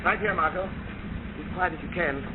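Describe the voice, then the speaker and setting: Man playing resolute detective hero, quick speech, commanding, cautious, tinny 1930s radio. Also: high voice, background noise, natural voice. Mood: guarded, resolute. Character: resolute, cautious male amateur sleuth.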